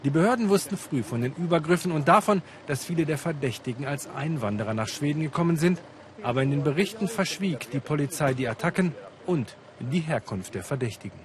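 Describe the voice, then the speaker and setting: A man's voice: fairly high pitch, expressive, fairly quick. A man reading a scripted foreign news report as a television reporter. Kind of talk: scripted narration with translated voice-over.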